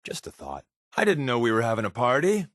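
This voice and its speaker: A man's voice: medium pitch, huge pitch swings, a little fast, fairly bright tone, dry recording. A man playing a wry, sarcastic spy for a secret resistance in a video game, glib and joking with dry asides. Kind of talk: video game character dialogue.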